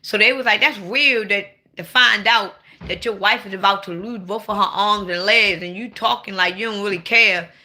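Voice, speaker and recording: Woman, 40s, very bright sharp tone, blunt, playful, loud bursts. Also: medium pitch, normal speed, hardly any pauses. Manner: blunt, playful, swings to sudden loud outbursts. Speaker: livestream host. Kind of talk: casual livestream chat.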